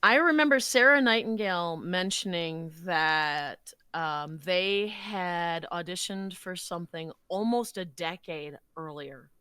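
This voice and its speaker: A woman's voice: fairly low pitch, takes it slowly, few pauses, bright, forceful tone, clear, resonant tone, dry recording. A woman voice actor speaking as a podcast guest, recounting experiences in an encouraging, conversational way.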